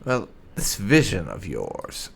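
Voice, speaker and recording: A gaming host with a creaky voice, reading role-played lines aloud and adding amused, casual asides during a playthrough. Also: low-pitched, huge pitch swings, slow pace.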